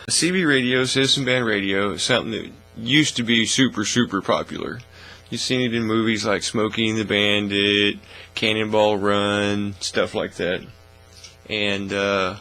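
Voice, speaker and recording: A man hosting a casual hands-on hobby demonstration in a folksy, informal, unhurried way, recorded in a small indoor workshop studio. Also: fairly low pitch, gravelly, husky, poor audio. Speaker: host of a hobby workbench channel. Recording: steady mains hum, faint noise.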